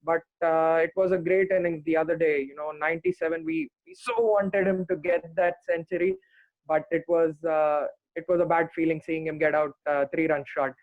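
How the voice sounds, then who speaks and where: A man with a high voice comes through a dry, tinny, phone-like line. He speaks as a podcast guest, earnest and steady, and often stops on "uh" between thoughts. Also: no echo. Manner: earnest, many "uh" pauses. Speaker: podcast guest, cricket fan. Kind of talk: casual podcast chat.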